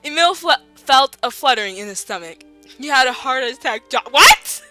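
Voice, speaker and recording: A woman's voice: high-pitched, lively intonation, normal speed, bright, forceful tone. A young woman giving a giggly, melodramatic reading of fan fiction, swearing now and then. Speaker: reader. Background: faint classical music.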